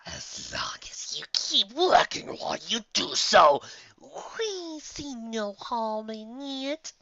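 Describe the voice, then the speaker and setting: A narrator voicing an ancient, condescending male monster overlord in a slow, breathy, rasping whisper for an audio story. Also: mid-range voice, expressive, muffled recording, crowd noise, pitched above the performer's own voice. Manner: slow, drawn-out, grandiose royal we.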